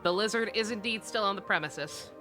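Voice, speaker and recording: A woman's voice: mid-range voice, a little fast, music in the background. A woman giving casual live-stream game commentary in a sarcastic, irreverent way, swearing freely.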